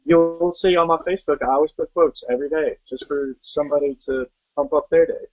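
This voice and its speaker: A man's voice: a little high, fairly even intonation, brisk, no echo, soft background music. A young man giving an earnest motivational talk and driving his points home with rhetorical questions. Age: young adult. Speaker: network marketing guest. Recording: dull muffled sound.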